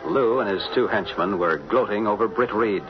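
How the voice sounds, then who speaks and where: A man's voice: fairly low pitch, moderate pace. A man narrating a 1940s radio drama in scripted, old-time broadcast style. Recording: muffled 1940s radio, music behind.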